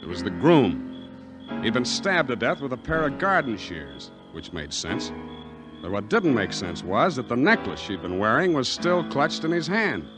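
An American actor playing a tough, wisecracking private detective, wry and blunt, in a 1940s radio drama. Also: male voice, medium pitch, very animated, normal speed, lightly acted. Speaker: lead actor and narrator. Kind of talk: 1940s hard-boiled detective radio acting and narration. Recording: old 1940s radio recording, music behind.

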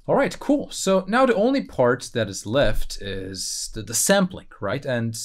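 A man hosting a casual software tutorial, thinking aloud in a conversational way with playful asides. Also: medium pitch, big pitch swings, a little slow. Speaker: tutorial host.

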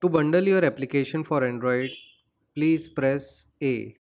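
A man's voice: medium pitch, measured pace. A man hosting a screen-recorded coding tutorial, explaining steps and spelling out terminal commands. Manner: steady, instructional, spells out commands.

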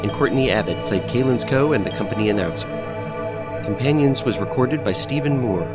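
A man's voice: mid-range voice, brisk. A man reading scripted end credits as the announcer of an audio play. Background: new-age music.

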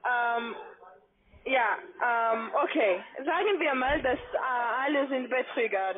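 A woman's voice: sits high, expressive, takes it slowly, husky. A woman call centre agent with a foreign accent, not a native German speaker, arguing in formal address over the phone. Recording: tinny phone line, call centre chatter behind.

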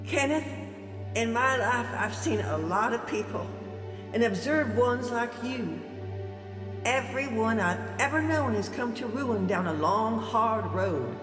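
A pious old grandmother in a radio drama. She counsels slowly and solemnly, her words hanging in a long, dreamlike reverb over music. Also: on the high side, lively intonation. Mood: solemn warning. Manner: solemn, preachy, measured. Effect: dreamlike reverb of a remembered voice.

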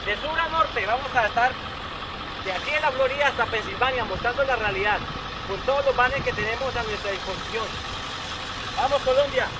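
High-voiced man at a street protest. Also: brisk, very noisy. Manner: emphatic, listing accusations. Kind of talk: street interview.

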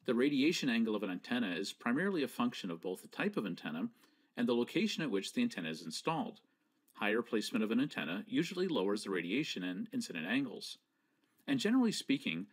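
A man delivers scripted educational narration as a presenter. His phrasing is measured and precisely enunciated, with an animated rise and fall in a mid-range voice. The manner is didactic throughout. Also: mid-range voice, husky.

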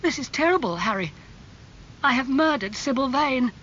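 Man acting an anguished young gentleman, highly animated. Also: normal speed, muffled recording, a little hiss or hum, heavily acted. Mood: horrified. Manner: emotional, pleading. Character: anguished young gentleman, impulsive, remorseful, earnest.

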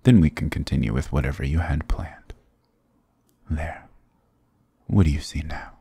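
A man's voice: measured pace, warm tone, no echo, exaggerated voice. A man voicing a dominant, sarcastic demon king in an ASMR roleplay, teasing and menacing, close to the mic. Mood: smug, commanding. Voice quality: very deep, gravelly, husky. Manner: sarcastic, teasing, menacing purr with low chuckles. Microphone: close, dry microphone.

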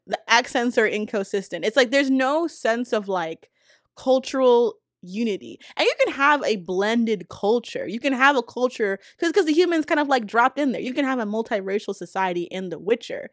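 An American woman in her thirties giving casual, opinionated commentary in an informal, slangy way with animated emphasis. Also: on the high side, no room sound, studio quality. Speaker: video essayist and host. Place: small indoor room with poster-covered walls. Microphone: close studio mic on a boom arm.

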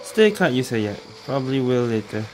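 A man's voice: mid-range voice, very animated, a little fast, poor audio. A man giving casual gameplay commentary, muttering slangy, offhand reactions to himself. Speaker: video game streamer. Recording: game music behind the voice.

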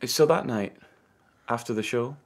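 A man's voice: medium pitch, unhurried. A man acting in a radio drama as a polite, tentative, long-suffering police sergeant.

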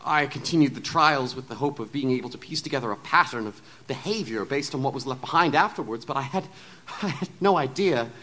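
Anguished refined gentleman scientist played by a man, hesitant and formal. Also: medium pitch, lively intonation, fairly quick. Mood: troubled, rueful. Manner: formal, wordy Victorian diction with hesitant stammers. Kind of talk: Gothic steampunk audio drama acting.

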